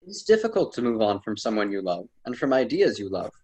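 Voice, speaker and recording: A man playing a wise, admonishing storyteller mentor in an amateur radio drama, formal and slightly husky. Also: lowish voice, sing-song, fairly quick, a little mellow. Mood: patient. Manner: formal, solemn, didactic.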